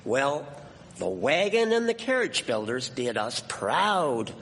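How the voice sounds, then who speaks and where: A man's voice: medium pitch, sing-song, takes it slowly, fairly bright tone, heavily acted. A man playing an opinionated, folksy county man at an 1870s fair in a historical radio drama, recorded with long reverb. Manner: folksy, old-fashioned. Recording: long echoing hall reverb.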